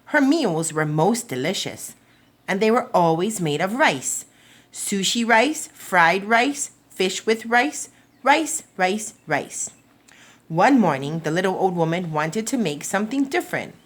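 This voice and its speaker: A woman reading a children's picture book aloud as a storytime reader, in an expressive, deliberate storytelling manner. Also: medium pitch.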